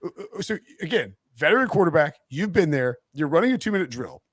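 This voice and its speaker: A man hosting a sports podcast in casual, jokey chat, with a halting, excitable way of getting to his point. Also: a little high, slightly rough, no echo, clean studio sound.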